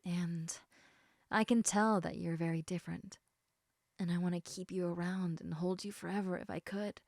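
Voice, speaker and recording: A woman playing a gentle, protective, indecisive snake-woman in an intimate audio roleplay, speaking in a soft, hesitant, caring manner. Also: lowish voice, fairly even intonation, normal speed, vocal fry, dry recording, clean studio sound. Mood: tender, worried.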